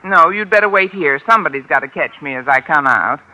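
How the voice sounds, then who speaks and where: Bright, wisecracking American schoolteacher. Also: female voice, sits low, expressive, fast, low-quality recording. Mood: indignant, wry. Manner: dry, sardonic wisecracks. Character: wry, wisecracking single woman high school English teacher. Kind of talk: 1950s radio sitcom acting. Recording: hissy old radio broadcast.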